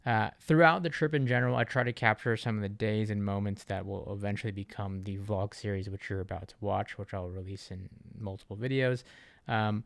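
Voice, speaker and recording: A man's voice: fairly low pitch, fairly even intonation, fairly quick, gravelly, dry recording. A man from Japan talking casually to viewers as a vlogger, in a conversational, wordy way that often rephrases itself. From Japan, Okinawa. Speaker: vlogger, channel host.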